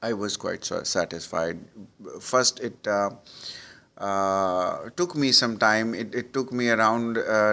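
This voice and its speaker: A man's voice: fairly low pitch, bright, forceful tone, clear, resonant tone. A middle-aged man, an Urdu speaker, giving sample IELTS speaking answers with many fillers and restarts. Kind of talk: model exam answers with Hindi-Urdu prompts.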